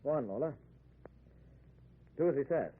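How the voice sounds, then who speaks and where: Edgy, stammering motorist who tries to appease, swinging pitch, vintage radio drama on a thin noisy recording. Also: male voice, expressive, measured pace, husky, phone-quality sound. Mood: tense. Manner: hesitant, stammering, anxiously placating. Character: nervous, shifty male motorist trying to appease. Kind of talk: old-time radio thriller acting.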